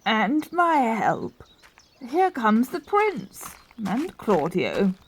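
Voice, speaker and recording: An actress playing a kindly, unhurried friar in a Shakespeare radio play. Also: female voice, fairly high pitch, huge pitch swings, heavily acted. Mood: helpful, assured. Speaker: actor in an audio Shakespeare play. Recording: faint noise and clicks.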